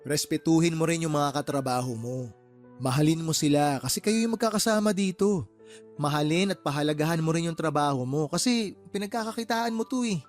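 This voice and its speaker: An actor playing a firm, fair-minded uncle and shop boss who reasons and admonishes, in a Tagalog radio drama. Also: male voice, on the high side, a little fast, faint music behind, natural voice. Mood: admonishing.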